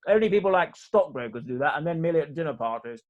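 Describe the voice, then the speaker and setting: Man acting a flippant, high-voiced young dandy. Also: lively intonation, fast, narrow, tinny audio, lightly acted. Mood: airy, flippant. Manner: airy, glib, mock-serious. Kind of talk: rehearsed reading of a Victorian stage comedy.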